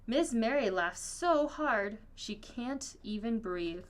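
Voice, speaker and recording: A woman reading a children's picture book aloud in an expressive, storytelling manner. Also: on the high side, takes it slowly. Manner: expressive, acts out dialogue. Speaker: storybook reader.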